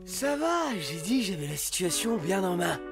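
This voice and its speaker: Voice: mid-range voice, big pitch swings, normal speed, gravelly, over loud music. An actor voicing a cocky, defensive male fox in a French cartoon dub, with a brash, exclamatory delivery. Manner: brash, exclamatory, self-justifying.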